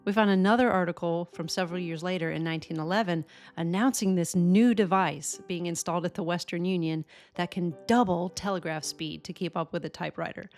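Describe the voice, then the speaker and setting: A woman's voice: lowish voice, steady tone, brisk, few pauses, darkish tone, faint music behind. An American woman co-hosting a hobby radio channel, reading scripted narration. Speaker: co-host of a ham radio channel.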